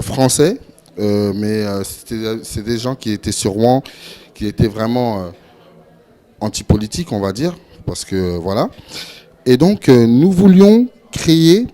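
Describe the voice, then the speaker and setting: A young man from France speaking on a discussion panel, halting and full of hesitations and repeated words. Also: mid-range voice, animated, dark tone. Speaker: panel speaker, event organizer.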